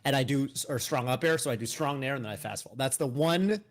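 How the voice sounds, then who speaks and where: A man in his thirties co-hosting a podcast, chatting casually about a fighting game, animated, with frequent restarts. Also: medium pitch, quick pace. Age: 30s. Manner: animated, talks in restarts, mock outrage. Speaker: podcast co-host. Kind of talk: casual gaming chat.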